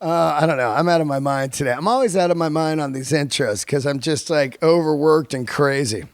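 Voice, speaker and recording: A man hosting a podcast in an unscripted, excitable and effusive way, with slangy, sweary talk. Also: a little high, brisk, no room sound, clean studio sound. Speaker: podcast host and comedian. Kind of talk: unscripted podcast intro and chat.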